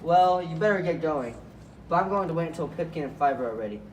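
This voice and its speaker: A man's voice: on the high side, lively intonation, fast talker, natural voice. A man playing a caring, cautious rabbit leader in an amateur puppet show, earnest and concerned. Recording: echoey, noisy room, far from mic.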